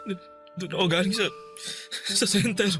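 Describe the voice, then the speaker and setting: An actor playing a guilt-ridden young lover in a Tagalog radio drama, confessing haltingly and emotionally to his girlfriend over background music. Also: high-pitched, lightly acted. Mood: downcast, hesitant. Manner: halting, stammering, emotional delivery. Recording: dramatic music bed behind voice. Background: loud background music.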